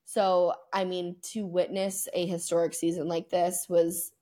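A woman's voice: lowish voice, little pitch movement, measured pace, warm tone. A woman co-hosting a podcast in casual sports chat, speaking in an emphatic, opinionated way full of hyperbole. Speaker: podcast co-host.

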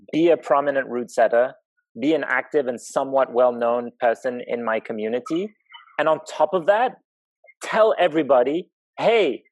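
A man from Zimbabwe speaking reflectively in conversation, thoughtful and halting as he searches for words. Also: mid-range voice, dry recording, rough recording. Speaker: interview guest, professional climbing route setter.

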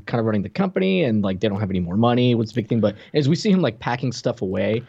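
A man's voice: mid-range voice, big pitch swings, rapid-fire, creaky, a little mellow, slightly rough. A man co-hosting a podcast, talking informally in a casual recap peppered with filler words. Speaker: podcast co-host. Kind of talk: casual chat recapping a TV episode. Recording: dry, slightly dull microphone sound.

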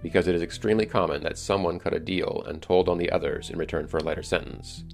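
A man hosting a podcast, reading scripted solo narration in a measured, explanatory way. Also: low voice, steady tone, gravelly, faint music behind. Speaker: podcast host.

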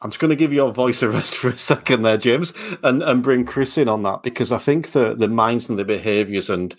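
A man's voice: mid-range voice, a little fast. A man hosting a podcast, easygoing in how he moves the talk between guests. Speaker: podcast host. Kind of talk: casual chat.

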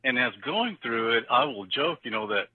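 A man's voice: mid-range voice, very animated, normal speed, breathy edge. A male podcast guest speaking over the phone, joking and laughing easily as he talks. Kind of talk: casual conversation about scripture. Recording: tinny phone line.

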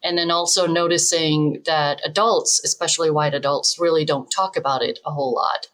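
A woman's voice: low-pitched, fairly even intonation, moderate pace, fairly bright tone. A middle-aged woman from Denmark, a psychologist explaining things and telling anecdotes as an interview guest. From Denmark, moved to the US in her twenties. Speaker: expert guest, developmental psychologist.

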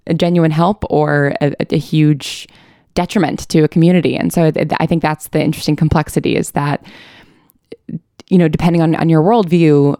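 Woman, interview guest, low-pitched voice, earnest and thoughtful, dry close sound. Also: warm tone, no room sound. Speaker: interview guest, audio drama writer. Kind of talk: conversational interview discussion.

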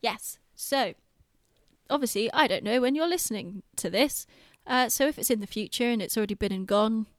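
A woman's voice: a little high, normal speed, dry recording. British woman in a dry, close recording. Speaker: podcast host and theatre director. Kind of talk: casual podcast chat.

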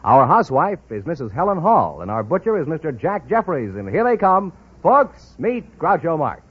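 A male announcer from old-time radio speaks with a lively, swinging pitch that sits fairly high. His delivery is scripted period announcing. The recording is muffled and vintage. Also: moderate pace, husky. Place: broadcast studio.

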